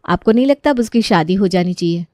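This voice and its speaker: A woman's voice: medium pitch, animated, fast, darkish tone, dry recording, studio quality, pitched below the performer's own voice, natural voice. A woman voicing a worried, respectful young daughter-in-law who reasons politely and defends herself in a family drama. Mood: concerned.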